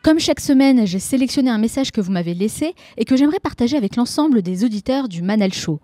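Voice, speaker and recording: A woman's voice: fairly high pitch, expressive, quick pace, warm tone, faint music behind. A woman hosting a podcast, reading an upbeat motivational intro and then interviewing her guest on a close mic. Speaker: podcast host.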